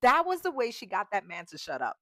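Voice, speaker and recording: A woman's voice: a little high, wildly swooping pitch, a little fast, sharp tone, dry recording, clean studio sound. A woman ranting in a travel vlog, emphatic and exasperated, swearing freely. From United States. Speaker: vlogger.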